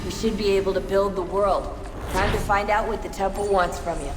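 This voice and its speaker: Casting: actress for efficient female technician giving calm game instructions, reverberant hall sound, score underneath. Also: medium pitch, brisk, lightly acted. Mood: businesslike. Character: brisk, businesslike female technician guiding a test subject. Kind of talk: video game tutorial voice acting. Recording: echoey hall with music behind.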